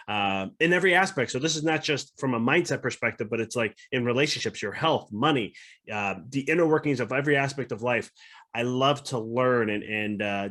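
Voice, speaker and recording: A man's voice: medium pitch, a bit bright. A man guesting on a podcast as a mindset coach, reflective and earnest in casual conversation. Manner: reflective and earnest, talking through ideas.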